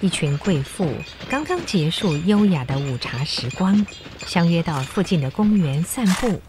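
A woman's voice: fairly low pitch, sing-song, moderate pace, background noise. A woman reading scripted narration for a literary radio drama.

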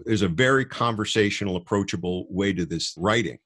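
A man with a fairly low voice that has a husky edge. He co-hosts a podcast and talks in a conversational, thoughtful way, explaining things as he goes. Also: fairly quick, fairly bright tone. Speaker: podcast co-host. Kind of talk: conversational podcast book talk.

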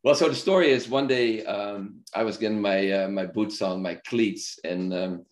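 A middle-aged man from the Netherlands, a podcast guest, telling his story in a relaxed, rambling way full of fillers and restarts. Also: fairly low pitch, normal speed. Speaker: podcast guest, football club founder. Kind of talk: casual conversational storytelling.

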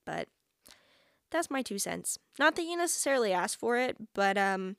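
A woman's voice: medium pitch, sing-song, normal speed, gravelly, no echo, clean studio sound. A woman in her twenties hosting a podcast solo, chatting casually and informally with a lot of "like" sprinkled in. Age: 20s. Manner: chatty, informal, heavy use of "like". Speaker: podcast host.

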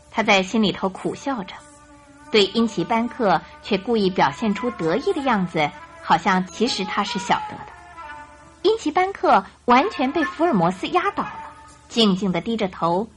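A woman's voice: on the high side, expressive, normal speed, a bit bright, soft background music. A woman narrating a Chinese radio drama in a scripted, dramatic storytelling style.

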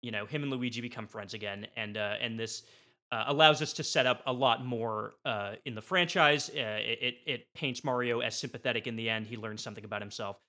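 A man giving a casual comedic pitch as a podcast panelist, in a mock-earnest way. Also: fairly quick, sharp tone.

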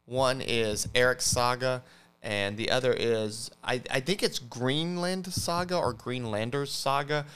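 A man co-hosting a podcast, explaining things casually in a halting way, often stammering and correcting himself. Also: medium pitch, a bit bright, clean tone. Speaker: podcast host.

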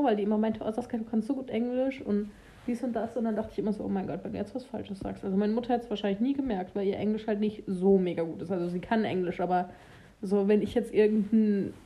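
A young woman chatting candidly in casual conversation, with some halting restarts. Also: mid-range voice, muffled recording. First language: German.

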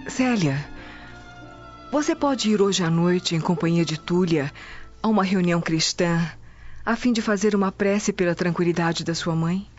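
A woman plays a worn-out, troubled Roman mother in a radio soap. Her voice is slightly low, with soft music behind and a dull, lo-fi sound. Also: normal speed, lightly acted. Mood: weary, troubled. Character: weary, anguished Roman noblewoman and mother. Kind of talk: radio drama acting. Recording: dull lo-fi radio drama audio. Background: music bed.

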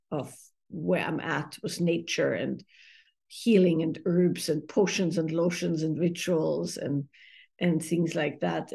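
A middle-aged woman from Austria, a therapist and author, giving thoughtful interview answers with many pauses and fillers. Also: lowish voice, vocal fry, darkish tone.

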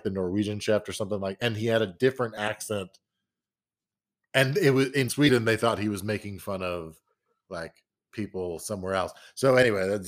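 Male podcast co-host, lowish voice, rambling, animated storyteller. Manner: rambling, animated, jumps into high-pitched put-on quotes. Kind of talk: casual chat.

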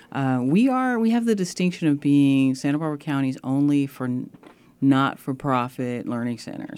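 A woman guest on a radio interview, co-founder of a nonprofit, explaining its programs in a measured way. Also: rumbling low, mellow.

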